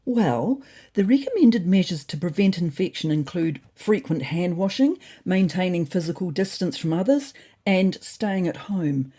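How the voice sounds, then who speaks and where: Know-it-all lady cat, voiced by a woman, lively. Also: on the low side, measured pace, husky, heavily acted. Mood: lecturing. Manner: lecturing, precise, puffed-up. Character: knowledgeable, know-it-all female cat friend.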